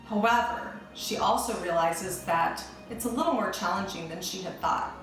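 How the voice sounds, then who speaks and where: A woman's voice: mid-range voice, moderate pace, soft background music. A woman narrating a children's storybook aloud, reading expressively and carefully. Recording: roomy indoor echo.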